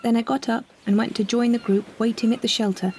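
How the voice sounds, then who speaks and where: A woman narrating a scripted first-person story in a measured, reflective manner. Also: fairly high pitch, steady tone, darkish tone, slight background noise. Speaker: solo performer narrating a story.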